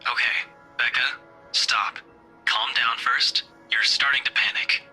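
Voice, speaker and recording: Gruff, raspy, bright-voiced young detective. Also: male voice, medium pitch, fairly even intonation, slow pace, heavily acted, poor audio. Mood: calm, firm. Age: young adult. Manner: curt, blunt, dry. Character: gruff, blunt young male police detective, protective underneath. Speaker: game voice actor. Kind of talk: dramatic visual novel dialogue. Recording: music behind the voice.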